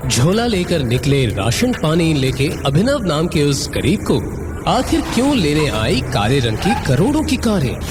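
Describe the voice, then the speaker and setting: A man narrating an audio story in a dramatic scripted style. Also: fairly high pitch. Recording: reverberant hall echo, loud music underneath.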